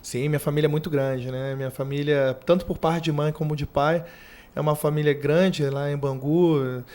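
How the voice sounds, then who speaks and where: A man in his thirties with a Rio de Janeiro accent, a fire brigade commander answering interview questions in an earnest, measured way. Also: a little high, fairly even intonation, darkish tone. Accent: Brazilian Portuguese from Rio de Janeiro. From Rio de Janeiro, Brazil. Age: 30s. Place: university TV studio.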